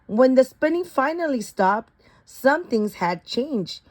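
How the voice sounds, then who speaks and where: A woman's voice: high voice, expressive, takes it slowly, a little mellow. A woman reading a children's picture book aloud expressively, with the occasional stumble over a word. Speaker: storybook reader.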